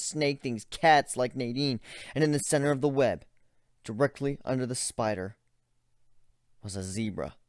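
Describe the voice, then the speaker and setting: A man's voice: on the low side, darkish tone, dry recording. A man giving a dramatic first-person reading of a story as its narrator, close to the microphone.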